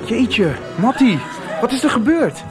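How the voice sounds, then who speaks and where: An actress in a radio soap playing an anxious, easily flustered teenage schoolboy with a hesitant manner. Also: female voice, fairly high pitch, big pitch swings, a little slow, music in the background. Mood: worried. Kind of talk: 1990s radio soap acting.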